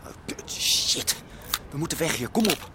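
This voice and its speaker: An actor playing a nervy, cautious, irritable activist in a radio drama, agitated and impatient. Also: male voice, a little high, big pitch swings, a little slow, heavy background noise. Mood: panicked, urgent. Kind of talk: naturalistic radio drama dialogue.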